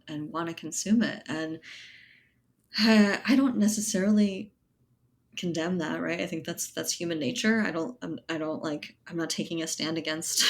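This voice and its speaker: A woman speaking as a writer being interviewed, reflective and conversational, often checking in with "right" and "you know". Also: deep voice. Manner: thoughtful, hedging, often ends phrases with right or you know. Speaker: podcast guest, writer and editor.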